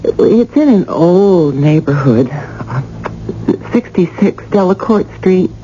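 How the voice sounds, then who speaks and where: A woman playing an excitable, anxious young wife in a radio drama. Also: lowish voice, slow pace. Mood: polite, uneasy. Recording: dull, hissy old radio recording.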